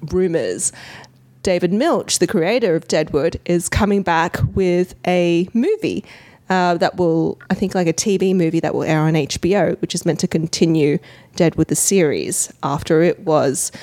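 A woman with a fairly low voice chats as a podcast co-host. She recommends things with emphatic enthusiasm and reaches for articulate, descriptive phrases. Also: a little mellow. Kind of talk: casual podcast discussion.

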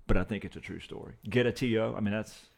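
Man, low gravelly voice, podcast co-host, casual, easygoing. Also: fairly even intonation, fast talker, darkish tone. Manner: easygoing, asks questions and jokes.

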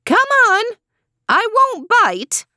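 A woman voicing a suspicious, hard-of-hearing old woman in a storybook, with a strong, high, screechy voice and a curt manner. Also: huge pitch swings, slow pace, sharp tone, dry recording, studio quality, heavily acted. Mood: softened, coaxing. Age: older. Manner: curt, accusing questions. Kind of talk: animated storybook character voice.

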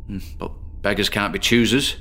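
A morbid British loner from a shabby bedsit, confessing in a horror audio drama. His voice is low, and he rambles wearily and hesitantly, full of self-deprecation. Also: moderate pace, vocal fry, bright, forceful tone, full character voice. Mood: bitter loathing.

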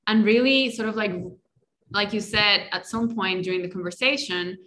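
A woman's voice: mid-range voice, moderate pace, slight background noise, poor audio. A woman from Colombia with a Colombian Spanish accent, speaking English as a second language as a guest on a podcast interview. She talks in a reflective, casual way and often checks in with "right?" and "you know.". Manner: warm reflective talk with many "right?" and "you know" tags. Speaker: guest, life coach.

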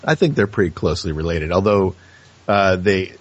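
Muffled dry audio, man, husky low voice, casual talk, hesitant phrasing. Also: expressive, normal speed. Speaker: podcast host as guest. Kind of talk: casual conversation. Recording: dull lo-fi audio.

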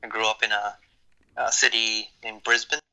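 A man's voice: fairly low pitch, normal speed, rough recording. A man, a graduate student guest talking loosely about his background, with many fillers. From Australia. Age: 30s. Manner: relaxed, rambling with fillers. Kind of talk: casual roundtable interview.

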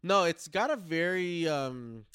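Guy cohosting a casual podcast, clean smooth voice, mid pitch, no room echo, halting slangy delivery. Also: lively intonation, fairly bright tone, studio quality. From Philippines or Filipino family. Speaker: podcast co-host. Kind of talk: casual chat, summarizing notes.

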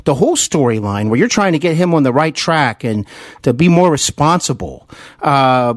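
A man hosting an interview podcast, speaking in an earnest, halting way with repeated words as he recalls things like a devoted fan. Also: on the high side, big pitch swings, no echo, clean studio sound. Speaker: podcast host. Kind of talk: interview, enthusiastic fan recollection.

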